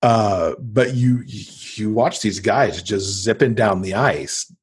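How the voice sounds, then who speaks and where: A man's voice: on the low side, slow, husky. A man, middle-aged, talking casually and enthusiastically as a guest in an interview about books. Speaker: podcast guest, avid reader.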